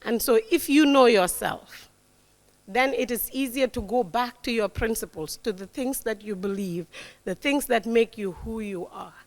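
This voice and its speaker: A woman in her forties speaks to a young crowd, stressing key words and tossing in "right?" to keep them with her. Her voice sits a little high, and a long reverb trails each phrase as if she is addressing a large hall. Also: moderate pace. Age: 40s. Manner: warm, emphatic, repeats key words, frequent "right?". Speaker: guest speaker. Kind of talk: motivational talk to young audience. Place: lecture hall.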